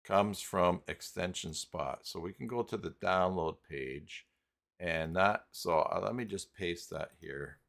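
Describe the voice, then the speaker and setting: Deep-voiced man hosting a slow tutorial. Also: gravelly, fairly bright tone. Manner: halting, thinking aloud while working. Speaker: host of live tutorial stream. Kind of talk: unscripted live software tutorial.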